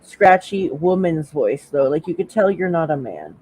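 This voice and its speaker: A woman's voice: low-pitched, sing-song, normal speed, a little mellow, low-quality recording. A woman on a live panel who teases the others bluntly and playfully in casual chat.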